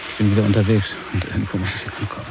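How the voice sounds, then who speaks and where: A man's voice: lowish voice, flat delivery, racing, vocal fry, background noise. An actor playing a weary, anxious male polar explorer speaking a diary-like monologue in a radio drama. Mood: weary, brooding.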